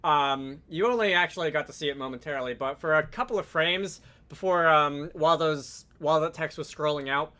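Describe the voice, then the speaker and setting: A man presenting a software tutorial in a conversational, explanatory way, with casual asides. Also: fairly high pitch, brisk, hardly any pauses. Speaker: tutorial host, game programming instructor.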